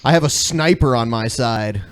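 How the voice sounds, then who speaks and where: A man's voice: medium pitch, moderate pace, clean tone, no room sound. A man co-hosting a podcast with casual, profane and irreverent comedic commentary. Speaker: podcast co-host.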